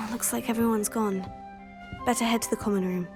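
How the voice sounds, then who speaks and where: Composed, higher-voiced schoolgirl, acted by a woman. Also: steady tone, music in the background, close to an everyday voice. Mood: polite, curious. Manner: measured, polite, inquisitive. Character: composed, polite, curious teenage schoolgirl, new student. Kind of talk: video game dialogue acting.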